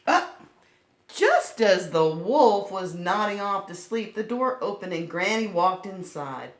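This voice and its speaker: A woman's voice: mid-range voice, very animated, slow pace. A woman reading a picture book aloud to children, breaking off to explain things simply. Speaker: children's storytime reader. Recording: roomy, echoing sound.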